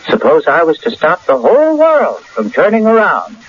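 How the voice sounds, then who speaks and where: A dull, lo-fi radio play recording of an anxious everyman with strange powers. His voice sits fairly high and swings up and down a lot. He is folksy and hesitant, tripping over his words. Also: wildly swooping pitch, moderate pace, slightly rough, full character voice. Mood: brooding, plotting. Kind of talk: radio drama acting. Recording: dull vintage radio broadcast, faint noise.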